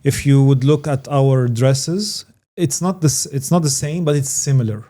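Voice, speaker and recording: Earnest Tunisian man with a warm, dark voice. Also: mid-range voice, moderate pace. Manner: earnest, emphatic, repeats key words. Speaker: guest, branding consultant. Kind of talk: conversational interview answers.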